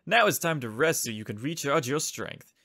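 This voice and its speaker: Suave, creaky-voiced sinister gentleman. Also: a little high, animated, normal speed, heavily acted. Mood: gleeful, menacing. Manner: theatrical, smooth, mockingly polite. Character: suave, sinister, theatrical gentleman offering dubious bargains. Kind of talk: comic dub character acting. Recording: voice over background music.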